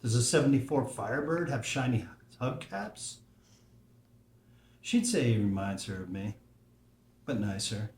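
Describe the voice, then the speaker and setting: A man's voice: mid-range voice, a little slow, pauses often, a little mellow, a little hiss or hum, close to an everyday voice. A man reading a wistful aging father. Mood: fond. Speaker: actor in a table read. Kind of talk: screenplay table read. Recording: roomy echo.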